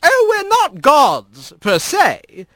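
A man's voice: extremely high pitch, huge pitch swings, very slow, a bit bright, no room sound, voice pushed up, full character voice. A man voicing a sly, lordly fox spirit in a storybook, airy and mock-polite with a teasing, cutting edge. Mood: lightly cutting. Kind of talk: animated storybook character reading.

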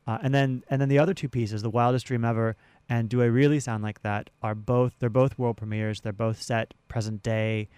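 An American man with a smooth, clear voice at a medium pitch gives conversational interview answers. He speaks in a measured way, often restating a phrase. The recording is dry and close, like a radio studio. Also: fairly even intonation, a little mellow, dry recording. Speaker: theater director and producer, radio guest. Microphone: close studio radio microphone.

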